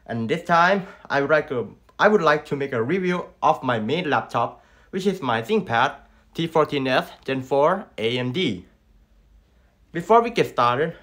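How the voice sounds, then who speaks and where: Brief: young Thai-accented man, slightly higher voice, earnest scripted tech review narration. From Thailand. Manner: earnest, steady listing of specs. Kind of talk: scripted product review voice-over.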